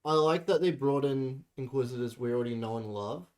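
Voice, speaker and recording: A man's voice: mid-range voice, fairly quick, darkish tone. A man co-hosting a podcast, giving opinions in informal, slangy casual chat. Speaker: podcast co-host.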